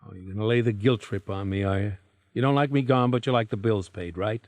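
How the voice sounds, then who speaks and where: An American man playing a slick, evasive traveling salesman husband in a radio drama, with a brisk, persuasive manner. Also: on the low side, a little mellow, dry recording, lightly acted. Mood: impatient, defensive. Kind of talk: naturalistic radio drama acting.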